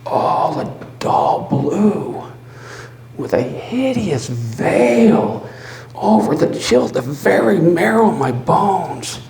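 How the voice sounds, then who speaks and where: A man voicing a mad, obsessive murderer confessing his crime in a dramatic gothic horror reading, halting and theatrical, moving between breathy whispers and loud outbursts. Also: high-pitched, very animated, exaggerated voice. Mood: furious, manic. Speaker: storyteller. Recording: roomy live recording with faint noise.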